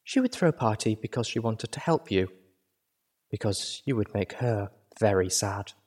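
A man voicing a gentle adult mare healer who speaks slowly, calmly and sadly. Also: fairly low pitch. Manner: slow, calm and gentle.

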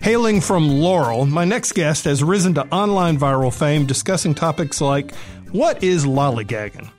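A man with a light Southern accent hosts a radio interview, chatty and quick with jokes. His voice sits a little high for a man. He talks in a loose, friendly back-and-forth. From Atlanta, Georgia. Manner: chatty and jokey, quick asides. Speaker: radio show host.

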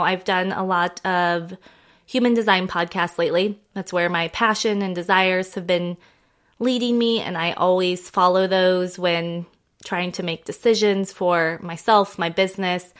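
A woman's voice: medium pitch, fairly even intonation, a little slow, clear, resonant tone. Congested woman chatting on her podcast. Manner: warm chatty rambling, affectionate to listeners. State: congested from a head cold. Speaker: podcast host. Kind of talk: casual solo podcast chat.

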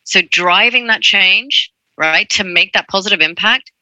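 A woman's voice: medium pitch, measured pace, bright, forceful tone, dry recording, poor audio. A woman from Hong Kong, a diversity and inclusion advocate, telling her story earnestly in a panel interview, often adding "right?". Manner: earnest, frequent "right?" and "look".

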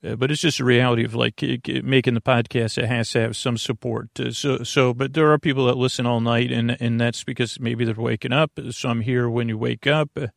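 Creaky-voiced man rambling through a bedtime monologue. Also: medium pitch, fairly even intonation, no echo, studio quality. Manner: halting, meandering, self-deprecating, reassuring. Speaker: podcast host.

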